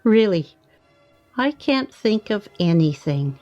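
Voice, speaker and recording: Actress playing a demure, contented middle-aged wife, expressive voice. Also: female voice, mid-range voice, slow pace, no room sound, muffled recording, faint music behind. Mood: bashful, demurring. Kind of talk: radio play acting.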